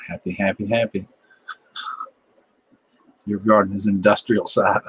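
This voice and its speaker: A man's voice: on the low side, little pitch movement, a little slow, fairly bright tone, slightly rough, dry recording, low-quality recording. A man in his fifties with a Southern American accent, chatting casually on a livestream in a laid-back, joking, folksy way. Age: 50s. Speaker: livestream host, gardening channel. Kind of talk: casual chat reading viewer comments.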